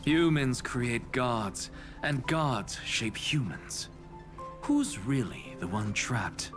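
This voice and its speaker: A man voicing an arrogant, philosophical professor in a video game, with a theatrical and deliberate delivery. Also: mid-range voice, sing-song, over music. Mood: provocative, musing. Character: arrogant, philosophical male scholar, blasphemous professor.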